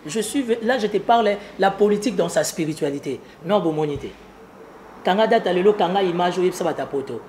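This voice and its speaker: A man with a Congolese accent speaks in a high-pitched voice over faint ambient noise. He comments on politics as an interview guest, driving points home emphatically and repeating his phrases. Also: expressive, fairly quick, a little mellow. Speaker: political analyst being interviewed.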